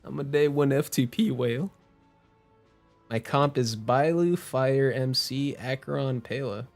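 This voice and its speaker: A man's voice: mid-range voice, a little slow, faint music behind. A man doing casual live game commentary as a streamer, playful, informal and rambling.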